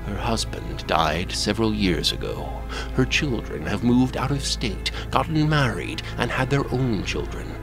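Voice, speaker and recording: A man narrating a strange tale in an audio drama, measured and wry. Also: on the low side, big pitch swings, creaky, exaggerated voice. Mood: measured, knowing. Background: music.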